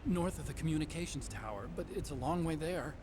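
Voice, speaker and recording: A man's voice: a little high, expressive, noisy background, natural voice. An American man acting an earnest, nervous, guilt-ridden young weapons engineer in a video game drama. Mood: urgent. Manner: earnest, anxious, halting.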